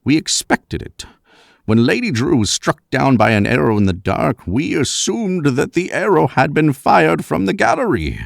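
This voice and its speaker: Man playing a gravelly, theatrical professor. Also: fairly high pitch, big pitch swings, dry recording, clean studio sound, heavily acted. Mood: measured, superior. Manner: pompous, deliberate, dramatic emphasis. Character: shrewd, theatrical older professor, father unmasking a killer. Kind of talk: 1940s radio mystery acting.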